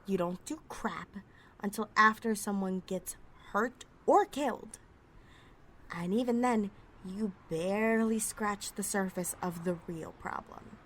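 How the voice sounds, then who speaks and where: A brash female outlaw voiced by a woman in an audio roleplay, sassy and sarcastic at an average feminine pitch. She is a wisecracking, foul-mouthed thief with plenty of swagger. There is some noise behind the voice. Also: a little slow, a bit bright, natural voice. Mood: bitter, cynical. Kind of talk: ASMR roleplay audio drama.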